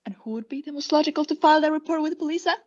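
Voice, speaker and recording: A young woman playing a guilty, unhinged caretaker in a radio play, breathy and often whispering, with halting, insistent self-justification. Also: high voice, muffled recording, close to an everyday voice. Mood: smug, unhinged. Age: young adult. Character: guilty, unhinged caretaker, anxiously feigning calm politeness. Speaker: student actor.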